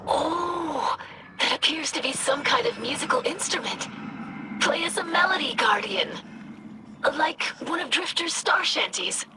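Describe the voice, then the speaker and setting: An actress voicing a young, earnest alien scholar in a video game, with inquisitive, precise phrasing. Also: female voice, high voice, animated, unhurried, heavy background noise. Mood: delighted curiosity. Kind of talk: video game character dialogue. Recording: game dialogue over loud music.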